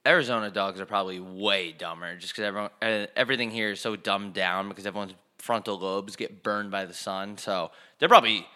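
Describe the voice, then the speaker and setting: A young man with a fairly low, bright voice chats on a podcast. He tells rambling stories in a deadpan way, swears freely and mimics the people in them. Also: brisk, hardly any pauses. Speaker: podcast co-host. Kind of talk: casual storytelling chat. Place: apartment in Arizona.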